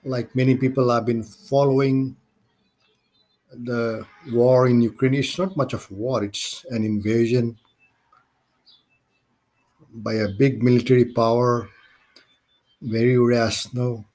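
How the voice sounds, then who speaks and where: A man from Nepal with a Nepali accent hosts a solo podcast, speaking in halting, deliberate phrases. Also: medium pitch, level tone, a little mellow, rough recording. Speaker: podcast host.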